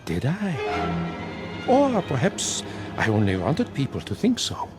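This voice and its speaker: An actor playing a sinister dead dictator who mentors from the shadows in a radio drama, with a theatrical, insinuating, oratorical manner. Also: male voice, mid-range voice, huge pitch swings, slow, loud music behind the voice. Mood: smug, persuasive.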